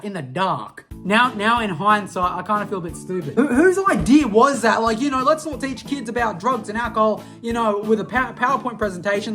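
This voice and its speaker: A young Australian vlogger with a mid-pitched voice talks in a loose, slangy ramble, tripping over restarts and poking fun at themself. Faint music sits low behind the voice. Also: a bit bright. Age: young adult. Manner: rambling, slangy, self-mocking, lots of restarts. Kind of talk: casual nostalgic rant to camera.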